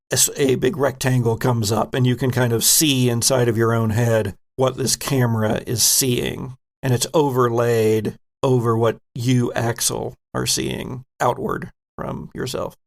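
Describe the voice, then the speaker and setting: A man narrating a tabletop game as game master, in an unhurried, descriptive way. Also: mid-range voice, vocal fry.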